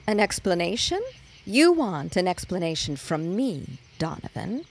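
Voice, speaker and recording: An actress playing a cool, defiant secretary in a period audio drama, guarded and pointed in manner. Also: female voice, on the low side, very animated, unhurried, slight background noise. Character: cool, defiant young secretary as party guest.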